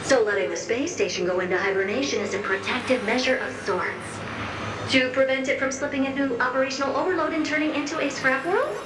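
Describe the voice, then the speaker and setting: A woman's voice: sits high, brisk, background noise, natural voice. A woman voicing a timid, chatty magic mirror in a video game, stammering, fawning and theatrical, in a roomy sound. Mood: curious. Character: timid, chatty, deferential talking mirror, easily flustered. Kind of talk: video game character acting. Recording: roomy, distant, under loud game music.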